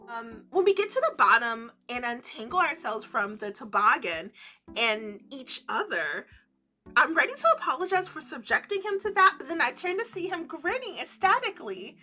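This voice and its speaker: A woman streamer reading a visual novel aloud in an animated let's-play style, breaking into giddy, giggly reactions and commentary. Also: fairly high pitch.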